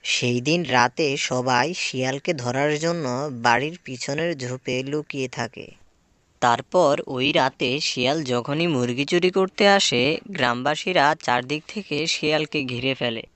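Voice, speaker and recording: A man's voice: medium pitch, measured pace, a bit bright, no room sound. A man reading scripted folktale narration for a cartoon.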